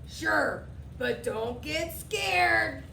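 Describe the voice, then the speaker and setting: A woman voicing a haughty, sassy female storybook monster with a raspy, emphatic delivery. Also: high voice, lively intonation, extremely slow, pushed and bright, echoey room, recorded from a distance, slight background noise, pitched above the performer's own voice, full character voice. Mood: boastful. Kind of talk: children's storybook read aloud.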